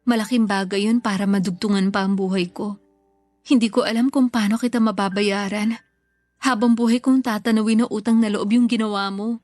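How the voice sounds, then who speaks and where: A woman's voice: mid-range voice, fairly even intonation, fairly quick, a little mellow, dry recording, faint music behind, lightly acted. An actress playing a grateful, affectionate older sister and mother in a sentimental radio drama, speaking tenderly and earnestly.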